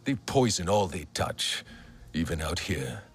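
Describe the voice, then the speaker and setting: Deep, solemn alien warrior. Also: male voice, big pitch swings, breathy edge, soft background music, heavily acted. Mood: solemn concern. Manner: formal, solemn, deliberate. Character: grave, vengeful male Na'vi alien warrior. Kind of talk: video game character acting.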